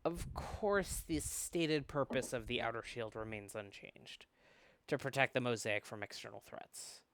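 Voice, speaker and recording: A co-host of a book club who chats casually and reads a visual novel aloud, animated and prone to rambling asides. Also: medium pitch, unhurried. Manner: animated, rambling, self-interrupting. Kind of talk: casual chat mixed with dramatic read-aloud.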